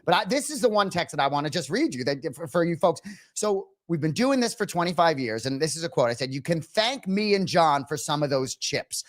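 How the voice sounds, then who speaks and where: A man co-hosting a casual sports talk show, rambling and animated, a little nasal from a cold, tagging his points with "right?". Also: a little high, brisk. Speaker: co-host. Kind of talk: casual sports radio chat.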